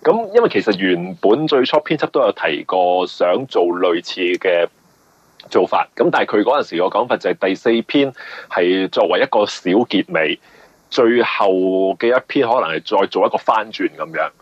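A man with a Hong Kong Cantonese accent speaks in a low, lively, swooping voice with a rough husk to it. He explains things haltingly in an interview, doubling back on his words. The radio recording sounds somewhat muffled. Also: no echo. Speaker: novelist guest.

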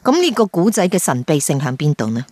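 A man's voice: a little high, big pitch swings, fast, darkish tone, no room sound. A man interviewing on radio, offering brief acknowledgements and questions.

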